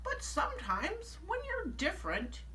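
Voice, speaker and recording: A woman voicing a wise, kindly little cricket from a storybook in a gentle, encouraging, lilting way. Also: extremely high pitch, wildly swooping pitch, a little slow, pitched above the performer's own voice, exaggerated voice. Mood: gentle encouragement. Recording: distant mic, faint background noise.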